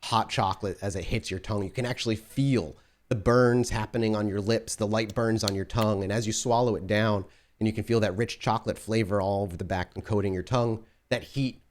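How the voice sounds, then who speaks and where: A man's voice: on the low side, fairly even intonation, fairly quick, a little hiss or hum. A man running a tabletop role-playing game as storyteller, giving vivid sensory descriptions with frequent restarts.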